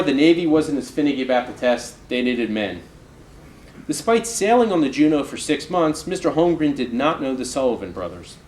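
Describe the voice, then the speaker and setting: A man, an author, reads an oral history aloud in measured storytelling with emphatic phrasing. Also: a little high, darkish tone. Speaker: author reading from their book. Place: live reading in a roomy indoor space. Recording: roomy, echoing live recording with noise.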